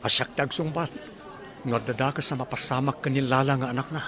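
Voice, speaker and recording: A man's voice: medium pitch, steady tone, fairly quick, lightly acted. Guy doing a worried dad, ilocano accent, crowd chatter all around. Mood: troubled. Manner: troubled, confiding. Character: worried father Jojo. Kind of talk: radio drama acting.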